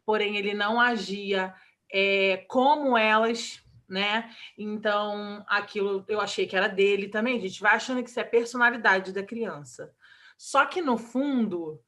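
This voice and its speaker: Bright-voiced Brazilian mother recounting. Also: mid-range voice. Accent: Brazilian Portuguese. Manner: emotional, halting with many restarts and fillers. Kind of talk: candid personal interview.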